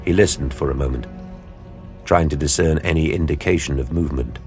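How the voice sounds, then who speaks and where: A man narrating an audio drama in a measured, grave storytelling style. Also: very deep voice, a little mellow. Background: music.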